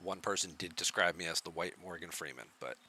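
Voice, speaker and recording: A soft-spoken man streams a game from his home setup and chats easily with viewers. His voice sits a little low in pitch but comes across very bright and forward. He talks in a relaxed, chatty way. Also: brisk, slight background noise. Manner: relaxed, chatty, answers chat questions. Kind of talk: casual live-stream chat with viewers.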